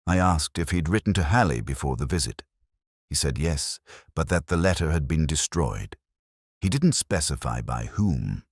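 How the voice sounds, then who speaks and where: A man narrating a mystery in the first person as a weary, reflective detective, in a measured, literary, brooding manner. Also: rumbling low, big pitch swings, slightly rough, dry recording, studio quality. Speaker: narrator of a detective audio drama.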